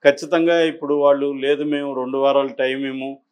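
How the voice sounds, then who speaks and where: A man with a Telugu accent giving conversational political commentary as an analyst, explaining in a halting way and mixing in English words. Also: medium pitch, level tone, smooth. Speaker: news analyst.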